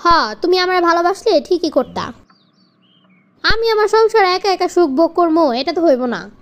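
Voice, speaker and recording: Woman voicing a very shrill bossy young Bangladeshi wife. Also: expressive, moderate pace, a bit bright. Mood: demanding. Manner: argumentative, scolding, insistent. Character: bossy, demanding young wife devoted to her girlfriends. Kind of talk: comic cartoon dialogue in colloquial dialect.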